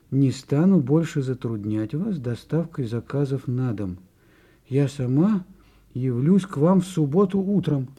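A man playing a blustering, excitable, politely fussy butcher in a children's audio play, with an emphatic, exclamatory delivery. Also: fairly high pitch, slightly rough.

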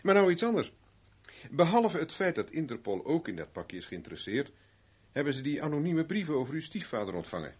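A private detective in an old 70s radio play, pushy and dry, kinda husky, really up and down. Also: male voice, on the high side, very animated, brisk. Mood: dry, sly. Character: probing, self-assured male private investigator. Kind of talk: 1970s Dutch radio drama acting.

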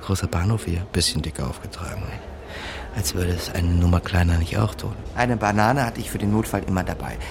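An actor playing a smooth small-time con man who narrates his schemes with dry, conspiratorial asides in a crime radio play. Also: low voice, fairly quick, noisy background. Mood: wry, scheming. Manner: conspiratorial, dryly wry asides.

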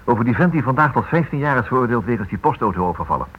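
A man's voice: mid-range voice, lively intonation, rapid-fire, close to an everyday voice. An actor playing an earnest writer in a Dutch radio play, hesitant and eager as he stammers through his sentences. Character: earnest, hesitant male writer researching a criminal. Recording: muffled, noisy old radio play recording.

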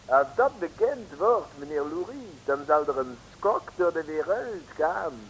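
A flowery French policeman in a vintage radio serial, with a French accent. His highish voice swoops about as he speaks in flustered, formal phrases, with hiss on the old recording. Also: lively intonation, measured pace, low-quality recording. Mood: admiring. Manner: effusive, formal, repetitive. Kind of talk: 1950s Dutch radio serial acting.

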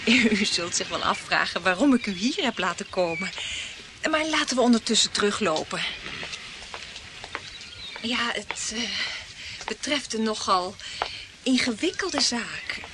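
An actress playing a flirtatious, well-spoken woman client in a detective radio play, coy and flattering. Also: mid-range voice, a little slow, pauses often, noisy background, natural voice.

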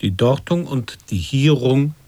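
A man's voice: mid-range voice, expressive, unhurried, warm tone, no echo. An actor voicing a man who lectures in earnest, twisted nonsense logic, declaiming in an avant-garde radio play. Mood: earnest, declamatory.

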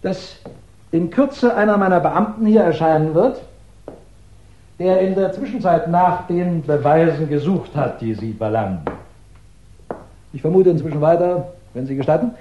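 A man's voice: on the high side, highs cut off, a little hiss or hum, lightly acted. An actor playing a methodical, courteous police investigator who lays out his case insistently in a 1960s German radio crime drama. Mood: insistent, assured. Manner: measured, insistent, explanatory, courteous.

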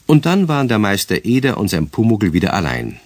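Male narrator for radio play: low voice, lively intonation, close dry sound. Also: fairly quick, dry recording.

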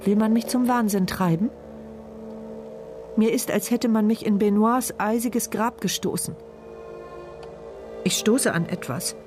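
A woman's voice: mid-range voice, unhurried, pauses often, a little mellow, lightly acted. Brief: actress as trapped, frightened woman, fragmented inner monologue, radio thriller, music underneath. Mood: dread, horror. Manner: tense, fragmented first-person present-tense narration. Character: wheelchair-bound woman narrator, trapped, terrified, silent inner voice. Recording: music bed behind voice.

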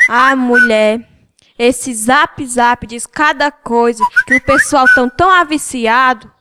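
A woman's voice: on the high side, takes it slowly, heavy background noise. A female amateur playing a chatty, gossipy schoolgirl friend in a radio soap, colloquial and exclamatory, with a northeastern Brazilian accent.

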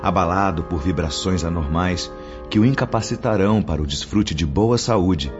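A man's voice: sits low, moderate pace, lightly acted. A man playing a grave, patient spirit mentor in a radio drama, teaching in formal diction. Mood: solemn, explanatory. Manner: solemn, didactic, formal diction. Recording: dull lo-fi audio under music.

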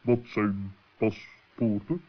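An actor playing a practical, plain-speaking male owl in a children's radio play, with a husky voice. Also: on the low side, drawn-out words. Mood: puzzled. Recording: dull old radio play recording.

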